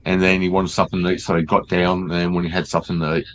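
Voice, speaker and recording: A man's voice: low voice, level tone, fast talker, slight background noise. An older man, chatting in a friendly way and telling family stories. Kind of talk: casual chat.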